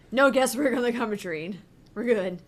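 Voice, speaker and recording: A woman's voice: fairly high pitch, a little slow. A woman co-hosting a gaming channel, chatting casually as she plays. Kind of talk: casual gameplay chat.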